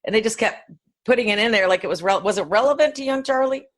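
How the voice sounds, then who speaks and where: A woman's voice: a little high, quick pace. Woman in casual podcast chat. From northern New York State, United States. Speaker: podcast co-host.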